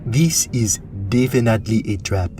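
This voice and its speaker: A man's voice: fairly low pitch, expressive, normal speed, dark tone, a little hiss or hum, close to an everyday voice. A man playing a big, avuncular cook in a fantasy audio drama, earnest and easygoing in manner. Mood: cautious, caring.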